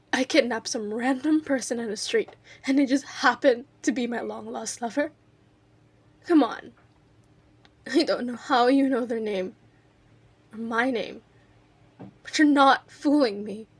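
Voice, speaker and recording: Woman acting a high-voiced obsessive yandere kidnapper. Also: full character voice. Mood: angry, distraught. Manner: clingy, emotional, swings between breathy whispers and outbursts. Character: obsessive, unstable yandere kidnapper in her thirties, lovesick. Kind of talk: audio roleplay, intimate dramatic monologue.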